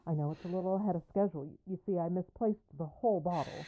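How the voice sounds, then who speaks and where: A woman's voice: fairly low pitch, fast, dull audio, natural voice. A woman acting in an audio drama as an ailing, pill-dependent mother, impatient and evasive, with a coaxing way of talking.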